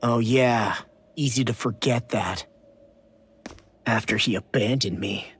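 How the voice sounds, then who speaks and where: An actor playing a bitter, hot-headed teenage boy with a husky voice and a defiant manner in a fantasy anime game. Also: medium pitch, slow, exaggerated voice. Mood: surly, bitter. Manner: defiant, surly. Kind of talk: English-dubbed anime game voice acting.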